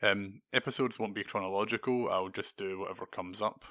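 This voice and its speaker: A man with a low, gloomy voice reads a scripted podcast lecture at a measured pace, his sentences sinking at the ends. The tone is bright and forward, and the sound is dry with no room around it. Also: fairly even intonation, no room sound. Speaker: podcast host.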